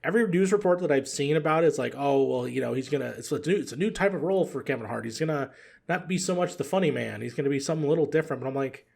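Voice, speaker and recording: Male podcast guest, slightly high creaky voice, casual and rambling, opinionated. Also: lively intonation, very fast, a little mellow. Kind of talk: casual chat.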